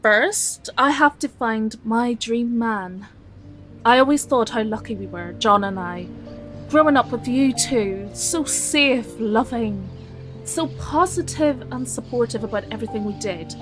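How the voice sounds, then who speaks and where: An actress with a British accent playing a caring, frank middle-aged single daughter in an intimate audio drama. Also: female voice, fairly high pitch, unhurried, over music, natural voice. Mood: wistful, rueful.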